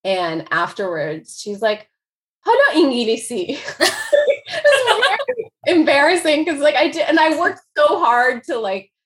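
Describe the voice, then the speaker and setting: A woman's voice: sits high, very animated, a little slow. A woman in her forties from the United States, talking casually as an author being interviewed, with lots of "like" and frequent laughter. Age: 40s. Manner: chatty, laughs often, many "like" fillers. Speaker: author guest.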